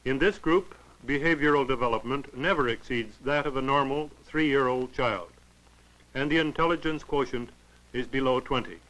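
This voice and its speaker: A man narrating a 1940s educational film in a formal, clinical, measured way. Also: mid-range voice, fairly bright tone, poor audio.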